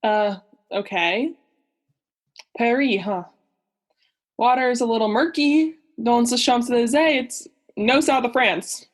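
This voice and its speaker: Casting: vain male French oceanographer played by a young woman, high register, breezy, dismissive, radio play. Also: slow, long pauses. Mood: baffled, dismissive. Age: young adult. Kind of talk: student radio play acting.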